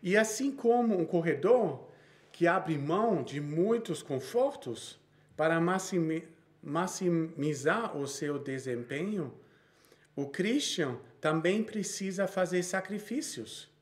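A man's voice: a little high, expressive, slow pace. A man in his thirties with a Brazilian Portuguese accent, preaching a sermon he reads from notes, with frequent small restarts.

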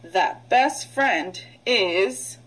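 A middle-aged woman, a preschool teacher, leading a read-aloud vocabulary lesson in an instructional way, pausing for children to repeat. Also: medium pitch, lively intonation, fairly bright tone, a little hiss or hum, poor audio. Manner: instructional, prompting with pauses for repetition.

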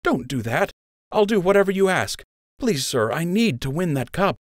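A man's voice: high voice, sing-song, normal speed, dry recording, studio quality, pitched above the performer's own voice. A man playing an earnest, intense young male rabbit archer in an audiobook. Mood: anxious, pleading.

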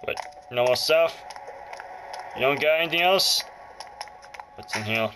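Animated, playful man doing gaming commentary. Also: on the high side, unhurried, slight background noise, poor audio. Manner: playful, jokey, mutters and exclaims to himself. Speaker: gaming video host. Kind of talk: casual let's play commentary. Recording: loud game music over the voice. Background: handheld game music and sounds.

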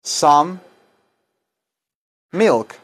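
A middle-aged man gives an informal bilingual language lesson. His voice sits slightly high for a man. He speaks at a very slow pace, repeating phrases and spacing out the words as if dictating. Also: animated, a bit bright. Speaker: English teacher and self-styled chaplain. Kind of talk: bilingual English lesson in Spanish and English. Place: small wood-paneled room indoors.

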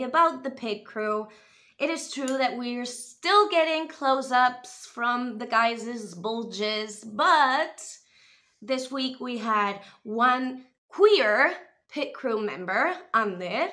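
A young woman from Spain, raised in the US, chatting animatedly to camera from a room at home. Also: fairly high pitch. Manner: animated, expressive, gestures while talking. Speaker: vlogger reviewing a TV show. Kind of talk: casual chatty commentary to camera. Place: small room at home, indoors. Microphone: webcam or camera close in front.

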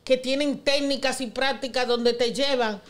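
This is a woman with a Dominican accent and a fairly high voice, a doctor who explains her points in a calm, patient way. She speaks into a studio desk mic as a guest on a radio talk show. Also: moderate pace. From Dominican Republic.

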